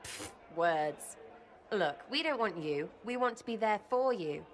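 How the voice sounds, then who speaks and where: A woman's voice: medium pitch, expressive, unhurried, natural voice. An actress voicing a brash, irreverent young female elf rogue in a video game who talks in cheeky, blunt slang.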